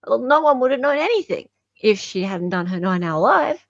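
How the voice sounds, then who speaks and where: An Australian woman hosting a casual live commentary, chatting with dramatic pauses, emphasis and rhetorical questions. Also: a little high, big pitch swings, no room sound, dull audio. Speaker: livestream host commentator.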